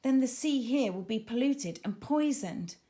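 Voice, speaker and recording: A woman's voice: on the high side, expressive, a little slow, dark tone, voice pushed up. A woman voicing a practical, cautious, worried female diver in a children's storybook, grave and urgent.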